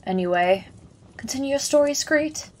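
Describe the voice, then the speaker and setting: A woman's voice: high voice, lively intonation, normal speed, a little mellow. A woman playing a haughty, wry death goddess. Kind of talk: audio drama acting.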